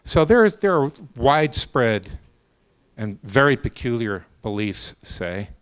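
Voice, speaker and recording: A man of medium pitch speaks very slowly, as a professor on a debate panel would. He is deliberate and measured, laying out his argument in short phrases with space between them. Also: big pitch swings, smooth. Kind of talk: extemporaneous academic argument.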